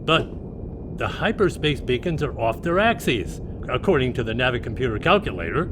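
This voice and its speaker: An actor playing a worried, deferential starship captain in an audio drama, speaking cautiously and respectfully. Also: male voice, moderate pace, natural voice. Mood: anxious, respectful. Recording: steady engine rumble behind the voice.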